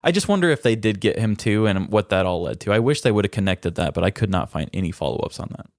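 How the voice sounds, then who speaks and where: A man's voice: lowish voice, expressive, quick pace, dry recording. Conversational man co-hosting, dry close sound. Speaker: podcast co-host. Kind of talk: casual chat.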